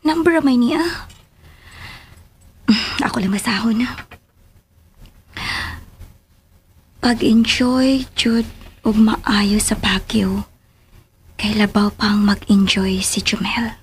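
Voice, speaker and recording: Excitable, high-pitched young wife. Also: female voice, takes it slowly, pauses often, a little hiss or hum. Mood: puzzled, wary. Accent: Filipino. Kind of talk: Filipino radio drama acting.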